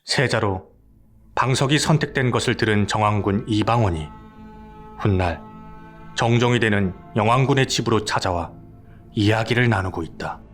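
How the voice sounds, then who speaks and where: A man giving calm scripted narration for a historical audio drama. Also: on the low side, moderate pace, faint music behind.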